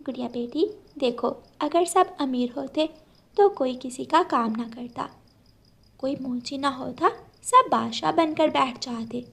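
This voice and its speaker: A woman voicing a gentle, patient, humble mother bird in a cartoon moral story, soft-spoken and soothing. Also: high-pitched, a little slow, creaky, slightly rough, full character voice. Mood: patient, consoling.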